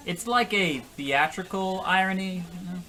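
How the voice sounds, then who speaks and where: A man's voice: high voice, moderate pace, pushed and bright. A man playing an oblivious, self-pitying husband in a comedic radio play, earnest, defensive and rambling. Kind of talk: comedic old-time radio play acting.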